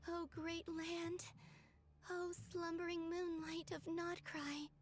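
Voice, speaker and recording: Soft, high-voiced, gentle young maiden. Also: female voice, level tone, takes it slowly. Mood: urgent, pleading. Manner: soft, breathy, musing. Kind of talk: video game voice acting, inner monologue.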